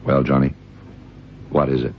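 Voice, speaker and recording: An actor playing a shrewd, methodical detective in an old radio crime drama, gravelly and deliberate, musing as he questions. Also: a bass voice, completely flat delivery, a little hiss or hum. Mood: probing, musing. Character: shrewd, methodical middle-aged American male detective. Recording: dull vintage radio drama recording.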